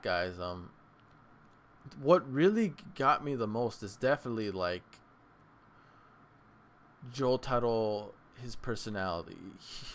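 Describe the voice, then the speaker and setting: A man's voice: fairly low pitch, slight background noise. Rambling man giving a casual anime review. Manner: rambling, restarts often, excitable bursts. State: tired, late at night. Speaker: anime reaction channel host. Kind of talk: casual solo review talk.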